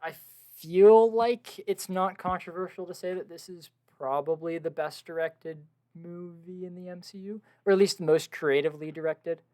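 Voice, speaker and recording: A man's voice: high-pitched, normal speed, warm tone, low-quality recording. Young male podcast co-host, enthusiastic fan, gushing and laughing. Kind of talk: casual film review chat. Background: washing machine at home.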